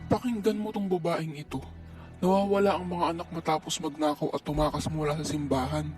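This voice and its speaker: Radio drama: man as menacing civil guard, rapid accusatory barking, music behind. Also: a little high, fairly even intonation, a little mellow, lightly acted. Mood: accusing. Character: bullying, threatening male colonial civil guard. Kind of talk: radio play acting in Filipino.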